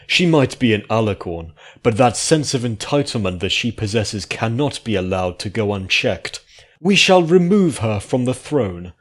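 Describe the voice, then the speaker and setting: A man voicing a pompous, scheming unicorn prince, haughty and declamatory, in a dramatic story reading. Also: medium pitch. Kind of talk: dramatic fan fiction reading.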